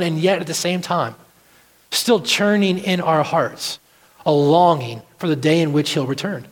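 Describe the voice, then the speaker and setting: Guy preaching a sermon, pretty high voice, earnest and repeats himself for emphasis, long echo of a big room. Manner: earnest, deliberate phrasing with repetition for emphasis. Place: large reverberant room, church service. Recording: live sermon in reverberant hall.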